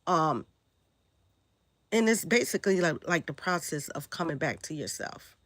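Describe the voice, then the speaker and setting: A woman from Brooklyn with a New York accent, hoarse and raspy from a sore throat, talking loosely on a live stream and often asking "right?". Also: deep voice, lively intonation, moderate pace, a bit bright, dry recording. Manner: rambling, loose, frequent "right?" and "like". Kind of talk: casual live-stream talk answering chat.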